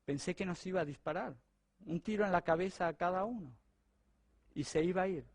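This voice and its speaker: A middle-aged Argentine man, a pastor, telling a personal testimony during a sermon in a lively, conversational way. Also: high-pitched, a little fast, no echo. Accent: Argentine Spanish. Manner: animated, conversational storytelling to a congregation.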